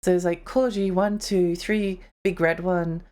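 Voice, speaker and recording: A woman's voice: fairly low pitch, steady tone, moderate pace, darkish tone. A young woman streamer chatting casually on a live stream, her voice tired and strained, rambling and giggling as she talks.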